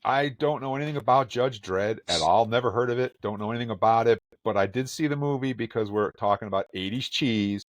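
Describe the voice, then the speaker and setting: A man's voice: mid-range voice, no echo. A man hosting a podcast, chatting casually and joking while running trivia. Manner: joking, runs the trivia segment. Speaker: podcast host. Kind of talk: casual chat.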